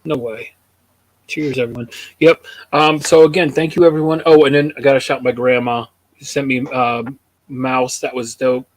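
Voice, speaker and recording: A man's voice: medium pitch, fairly even intonation, normal speed, poor audio. A man in his thirties hosting a casual livestream hangout, laid-back, thanking viewers with plenty of ums. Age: 30s. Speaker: host.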